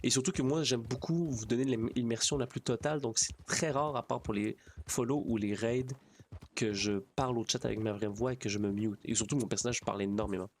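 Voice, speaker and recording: A man's voice: mid-range voice, a little fast, slight background noise. A man with a Quebec French accent chatting casually to livestream viewers, rambling and repeating his phrases. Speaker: streamer.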